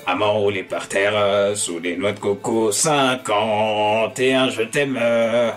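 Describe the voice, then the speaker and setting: A man playing a sodden drunkard singing a slow, slurred drinking song. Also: on the low side, steady tone. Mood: woozy, adoring. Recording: faint music behind.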